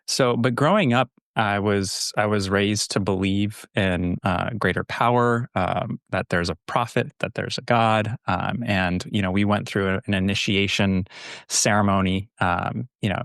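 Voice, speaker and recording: A man's voice: fairly low pitch, gravelly, a bit bright, no echo, studio quality. A young man from California, a podcast guest, speaking reflectively with many fillers and restarts. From California, USA. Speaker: podcast guest, holistic health coach. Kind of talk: casual interview conversation.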